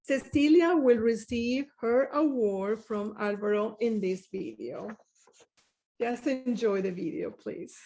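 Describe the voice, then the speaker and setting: A woman presenting awards at a live ceremony, reading out prepared tributes to the winners in a measured way. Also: on the high side, gravelly, mellow, rough recording.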